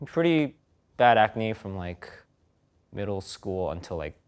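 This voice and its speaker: Halting, deep-voiced man in his thirties. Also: big pitch swings, smooth. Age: 30s. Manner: halting, many fillers. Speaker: participant. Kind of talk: candid conversation.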